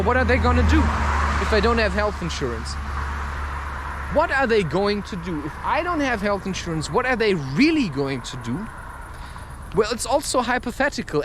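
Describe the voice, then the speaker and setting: Male podcaster, high-register voice, off-the-cuff and musing delivery, recorded outdoors with faint vehicle noise. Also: a bit bright. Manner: musing, loose, frequent rhetorical questions. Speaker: podcast host. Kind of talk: rambling spontaneous monologue.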